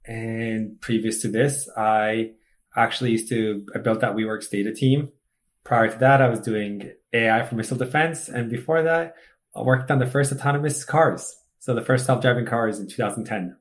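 Guy from egypt in his 30s, startup founder, chatty and animated, lots of "like", mid pitch. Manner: animated storyteller, fast bursts and filler "like". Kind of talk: casual interview chat.

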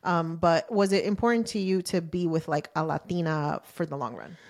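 A woman with a slightly low voice hosts a casual conversation. She puts questions and comments informally and frankly, as one would with a friend. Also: moderate pace. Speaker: podcast host.

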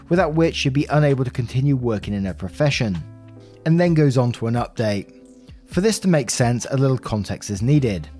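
A man reading a written story aloud as a podcast host, with expressive delivery and brief asides. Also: mid-range voice.

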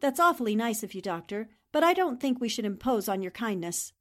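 A woman playing a composed, shrewd newspaperwoman in a 1940s-style radio drama, speaking in a polite, measured way. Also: on the high side, big pitch swings. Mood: courteous, probing.

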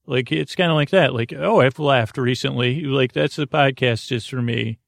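Gravelly man rambling through a bedtime podcast. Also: medium pitch, no room sound, clean studio sound. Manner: meandering, halting, repeats and trails off. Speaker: podcast host.

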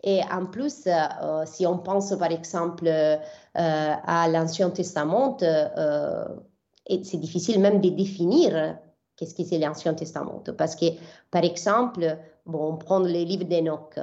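A woman's voice: lowish voice, measured pace, dark tone, highs cut off. A young Italian woman from southern Italy, a biblical scholar answering interview questions in French with many fillers and restarts.

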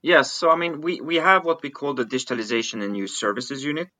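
A man's voice: medium pitch, quick pace, sharp tone, no echo. A man in his forties from Switzerland, a guest on a podcast, answering questions thoughtfully and often repeating words as he searches for the phrasing. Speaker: podcast guest, digital business executive. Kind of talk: conversational interview answers.

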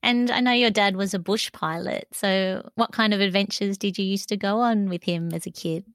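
A woman hosting an interview show, reading a scripted introduction and asking questions in a clear, measured way. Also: medium pitch, fairly bright tone, no room sound, studio quality. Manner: clear, measured presenter delivery. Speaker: podcast host.

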